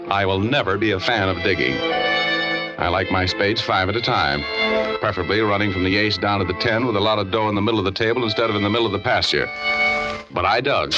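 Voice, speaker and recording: An actor playing a wisecracking, cynical private detective with an American accent, in slangy, wry 1940s radio noir style. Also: male voice, fairly low pitch, natural voice. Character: wisecracking hardboiled male private detective, smooth-talking and cynical. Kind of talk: 1940s radio noir acting with first-person narration. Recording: dull old radio recording with music.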